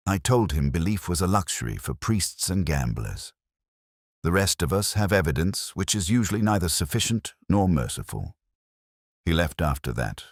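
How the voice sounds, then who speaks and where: Very deep solemn male narrator, close and dry. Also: husky, no room sound. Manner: measured, literary, solemn. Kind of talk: first-person scripted detective story narration.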